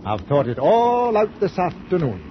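A noisy, dull 1940s radio recording of an old merchant captain. He is stubborn and literal-minded, speaking tersely and pompously in a fairly high male voice. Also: very animated, normal speed. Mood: stubborn, commanding. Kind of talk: 1940s radio drama acting.

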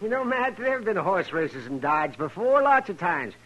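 An actor playing an excitable, folksy Old West town doctor in a 1950s radio western, with a raspy, fussy delivery. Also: male voice, high voice, normal speed, highs cut off, faint music behind. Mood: excited. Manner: animated, fussy.

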